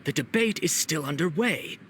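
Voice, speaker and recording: A man's voice: fairly high pitch, expressive, moderate pace, a bit bright, a little hiss or hum. A man voicing a flustered official who talks hesitantly, as a game character. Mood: uneasy.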